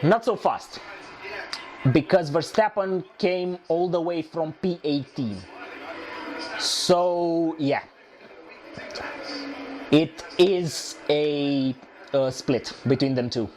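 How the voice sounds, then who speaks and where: Hoarse man, high voice, slow delivery; excitable live race reactor who repeats himself. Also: poor audio. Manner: excitable, shouting at overtakes, repeating phrases. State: hoarse, losing his voice.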